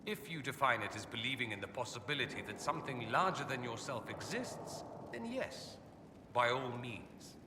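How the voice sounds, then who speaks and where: A man's voice: mid-range voice, animated, moderate pace, distant mic, close to an everyday voice. Man doing a haughty witty fantasy mage for a game, arch and sardonic, really swoopy delivery, big echoey room w/ noise behind. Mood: reflective, sincere. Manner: suave, sardonic, theatrically arch. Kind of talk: video game character dialogue. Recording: echoey hall, clear background ambience noise.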